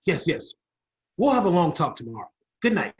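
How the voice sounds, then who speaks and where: A man's voice: on the high side, sing-song, moderate pace. A man playing a proud older inventor professor in an old-style radio play, explaining theatrically and sometimes stumbling. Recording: dull lo-fi sound.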